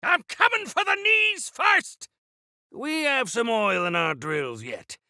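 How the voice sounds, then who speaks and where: An actor playing a defiant, feisty old exiled warrior-engineer in a video game, gravelly and theatrical, with grandiose, archaic turns of phrase. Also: male voice, extremely high pitch, lively intonation, slow, sharp tone, no room sound, studio quality, heavily acted. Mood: fierce, battle-hungry. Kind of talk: video game character acting.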